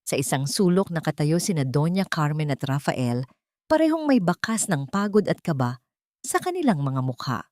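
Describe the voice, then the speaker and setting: A woman's voice: sits low, big pitch swings, normal speed, darkish tone, dry recording, studio quality. A woman with a Filipino accent reading a scripted, dramatic audio story as the storyteller.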